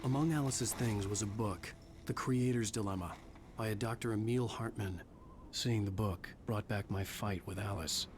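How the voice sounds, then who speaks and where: A man's voice: on the low side, normal speed, noisy background, natural voice. A man playing a troubled, short-tempered writer, the hero of a thriller video game. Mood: brooding narration. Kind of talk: dramatic game acting and brooding narration. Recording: game audio with music behind. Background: music.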